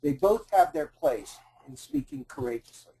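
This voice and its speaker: A man speaking as an executive coach on a webinar panel, in a measured, reflective way with hesitant fillers. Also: high-pitched, very animated, gravelly, dark tone, husky, rough recording.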